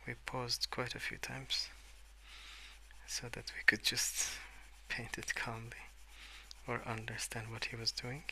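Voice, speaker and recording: A man speaking in a soft, hushed ASMR ramble, drifting between murmur and whisper in a hesitant, unhurried way. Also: medium pitch, little pitch movement, a little hiss or hum, rough recording. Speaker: host of an ASMR colouring channel. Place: indoors at a wooden desk.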